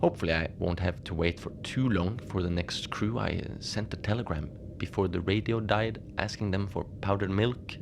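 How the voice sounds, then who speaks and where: A man playing a mild, lonely Antarctic station manager in a cozy horror audio drama, speaking in a hesitant, understated deadpan as he logs his shift. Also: low voice, normal speed, gravelly, few pauses. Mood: calm, matter-of-fact. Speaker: narrator of a fiction podcast. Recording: noisy ambient bed behind the voice.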